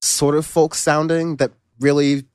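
A man's voice: fairly high pitch, measured pace, smooth, dry recording. Casual male podcast host, dry close mic. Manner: relaxed, rambling, lots of fillers and restarts. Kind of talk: casual music review chat.

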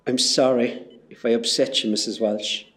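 A man's voice: medium pitch, bright, forceful tone. A man playing a kindly, earnest Irish hospital porter with a Cork accent in an amateur radio play. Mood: gentle, consoling. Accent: Irish, County Cork. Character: kindly, apologetic Irish hospital porter, grown-up local lad.

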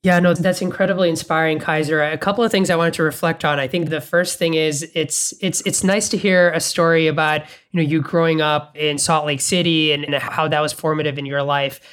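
Brief: host from Bangladesh, mid-pitched, enthusiastic and conversational. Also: fairly even intonation, brisk, hardly any pauses, a bit bright, smooth. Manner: enthusiastic, thinks aloud with repeated words. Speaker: podcast host. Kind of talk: casual interview conversation.

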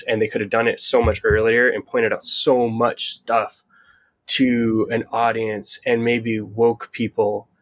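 A man's voice: lowish voice, low-quality recording. A man co-hosting a podcast in casual discussion, thinking aloud in a conciliatory, tentative way. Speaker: podcast host.

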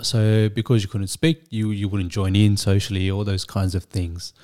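A man, a Vietnamese speaker, telling his story as a guest in a measured, thoughtful way with frequent restarts. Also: fairly low pitch, little pitch movement, darkish tone. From Australia. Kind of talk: reflective storytelling.

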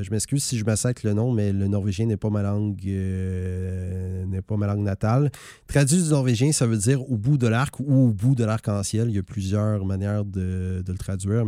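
A man presents a music show from the studio. His voice sits low and mellow, and the sound is dry and close. He talks in a chatty, self-correcting way with small chuckling asides. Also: no echo. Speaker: radio host. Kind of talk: casual music radio presenting. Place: radio studio.

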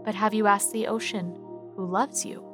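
The hushed wise stars, read by a woman. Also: medium pitch, steady tone, normal speed, music in the background, natural voice. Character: the stars, quiet wise onlookers.

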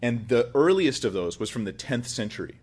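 A middle-aged man who is a pastor and show host, giving a relaxed, conversational Bible teaching with light chuckles and repeated phrases. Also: mid-range voice, fairly quick, husky.

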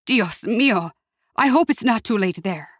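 A woman's voice: medium pitch, expressive, unhurried, no room sound, studio quality. A woman voicing an anxious older aunt from Mexico, with a trembling, pleading manner and a touch of disapproval. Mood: worried.

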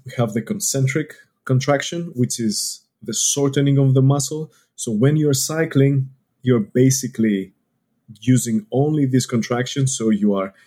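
A man from Greece with a Greek accent, a scientist guest calmly explaining things step by step in an interview. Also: medium pitch. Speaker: podcast guest, exercise scientist.

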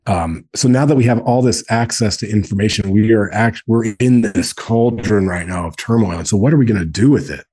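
An earnest man in his forties, his voice husky and a little low. He talks in a loose conversational flow, circling back and repeating phrases to drive a point home. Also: a little fast, hardly any pauses, warm tone. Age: 40s. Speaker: guest, men's group co-founder. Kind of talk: casual podcast conversation.

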